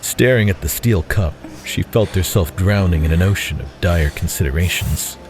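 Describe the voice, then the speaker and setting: Deep-voiced man narrating a drama. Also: animated, moderate pace.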